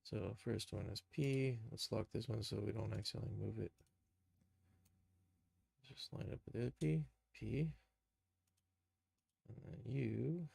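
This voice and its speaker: A man giving an informal live software tutorial, thinking aloud and muttering steps to himself as he works. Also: fairly low pitch, a little slow, gravelly, pauses often, husky, no room sound. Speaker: live streamer, game and cartoon developer.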